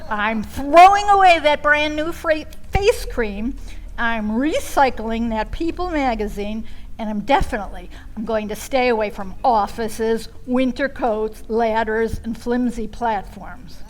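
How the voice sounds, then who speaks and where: A woman with a high voice performs a comic first-person story. She delivers it slowly, in the manner of a stage storyteller. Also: poor audio. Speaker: storytelling contestant.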